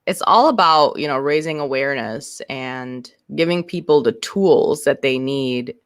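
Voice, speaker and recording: A woman's voice: a bass voice, measured pace, smooth, no room sound, clean studio sound. A woman being interviewed as a guest, talking frankly and casually with lots of fillers, restarts and the odd swear word.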